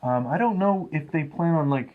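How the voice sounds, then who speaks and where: Higher-voiced man, hesitant casual review co-host. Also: animated, moderate pace, narrow, tinny audio.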